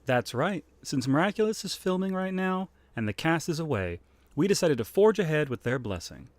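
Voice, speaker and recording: A man's voice: fairly high pitch, very animated, normal speed, no room sound. A man co-narrating a fan audio drama in a playful, casual way.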